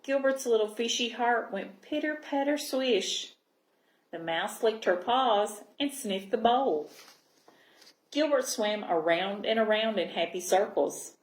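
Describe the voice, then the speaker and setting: A woman reading a picture book aloud to young children as a library storyteller, in an expressive manner. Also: on the high side. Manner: expressive, pausing to check understanding with listeners. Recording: roomy, echoing sound.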